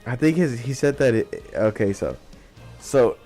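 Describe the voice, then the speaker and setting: A man's voice: mid-range voice, lively intonation, measured pace, dark tone, faint music behind. A young adult man telling a casual story, slangy, rambling and sweary.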